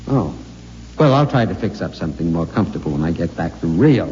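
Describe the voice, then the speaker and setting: An actor playing a meek, apologetic husband who talks briskly and stammers when cornered, in 1950s radio drama style. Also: male voice, sits low, big pitch swings, warm tone. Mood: brisk, placating. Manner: brisk and placating, breaking into stammering panic. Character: meek, apologetic middle-aged husband, easily flustered. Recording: dull old radio broadcast with hiss and long reverb.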